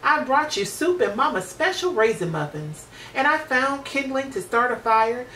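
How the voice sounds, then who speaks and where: A woman's voice: sits high, lively intonation, normal speed, bright, forceful tone, voice pushed up. A woman voicing a sweet, trusting little girl in a storybook, innocent and eager. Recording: roomy, distant mic with hiss.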